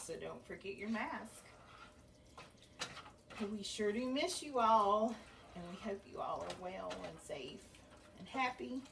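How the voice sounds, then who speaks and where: Slow-talking homey woman cooking. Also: mid-range voice. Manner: chatty and homey, talks while stirring pots. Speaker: cooking show host. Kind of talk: home cooking demonstration. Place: home kitchen. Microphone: camera a few feet away. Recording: slightly distant camera mic, faint room noise.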